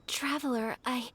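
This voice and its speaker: A woman's voice: high voice, a little hiss or hum. A woman playing a refined, earnest young noblewoman in an anime-style video game, speaking politely and a little hesitantly. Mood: nervous, hesitant. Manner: polite, hesitant, breathy sighs. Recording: music playing behind.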